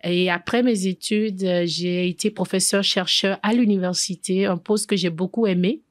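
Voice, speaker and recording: A middle-aged woman from Benin, president of a chamber of commerce, answering interview questions in a halting way with many fillers and restarts. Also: medium pitch, fairly even intonation, no echo.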